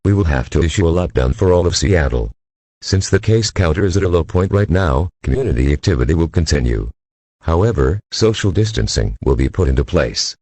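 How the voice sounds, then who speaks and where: Very deep, husky male news anchor, acted by a man. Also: darkish tone, dry recording, full character voice. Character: male news anchor reporting an outbreak, formal and grave. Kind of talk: scripted news bulletin.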